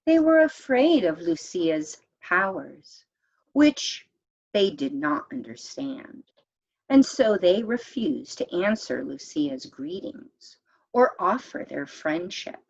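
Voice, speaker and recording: A woman reading a children's picture book aloud in a measured, expressive storytime manner, as if to young listeners. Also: on the low side, gravelly, muffled recording. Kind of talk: read-aloud storytime narration.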